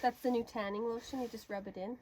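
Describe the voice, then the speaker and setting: A woman's voice: on the high side, steady tone, quick pace, poor audio. A woman farmer with a cold, chatting casually as she explains her baler outdoors on a field. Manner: chatty and explanatory, a bit self-deprecating. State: has a cold. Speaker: farmer showing new equipment. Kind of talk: casual explanatory vlog chat. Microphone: handheld camera she films with. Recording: loud tractor engine noise behind.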